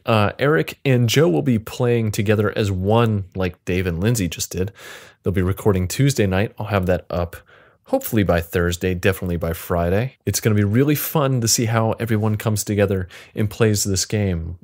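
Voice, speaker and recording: A man's voice: lowish voice, a little fast, a little mellow, no room sound, clean studio sound. A man casually narrating an interactive fiction game as its host, with a playful, joking manner.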